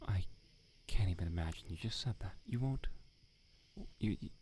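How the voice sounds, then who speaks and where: A man playing a prickly, secretly smitten swordsmith in a soft, half-whispered ASMR roleplay, halting and teasing as he talks. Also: sits low, animated, a little hiss or hum. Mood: exasperated. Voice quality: breathy, gravelly, often half-whispered. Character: tsundere male swordsmith, prickly yet flustered, secretly smitten.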